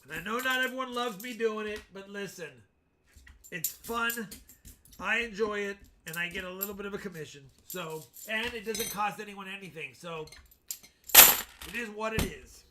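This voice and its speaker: A man of about fifty chatting excitedly with viewers as he hosts a live stream. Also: high voice, normal speed, slight background noise. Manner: excited, chatty, keeps talking to viewers by name. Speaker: coin-hunting live streamer. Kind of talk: casual live-stream chat while searching coin rolls.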